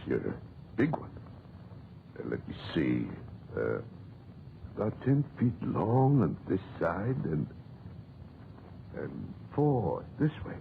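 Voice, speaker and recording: An actor playing a stubborn amateur antiquarian who mutters theatrically to himself in a 1940s radio drama. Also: male voice, low-pitched, vocal fry, full character voice. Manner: theatrical muttering with tag questions and repeats. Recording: muffled, very noisy old 1940s radio recording.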